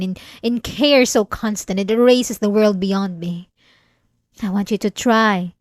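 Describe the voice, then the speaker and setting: A woman playing an obsessive, possessive, centuries-old vampire in an ASMR roleplay, speaking intimately and deliberately with dramatic pauses. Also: mid-range voice, no room sound, clean studio sound, natural voice. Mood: coaxing, tender.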